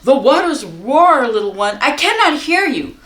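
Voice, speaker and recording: A woman voicing a harried mother of two small boys in a storybook, calling out loudly. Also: high-pitched, very animated, reverberant, pitched above the performer's own voice. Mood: distracted. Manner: calls out loudly over rushing water.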